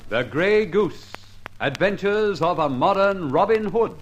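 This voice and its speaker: A man announces in the style of an old radio serial. His voice sits fairly high, with a deliberate and dramatic delivery. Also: lively intonation, lo-fi sound, loud music behind the voice.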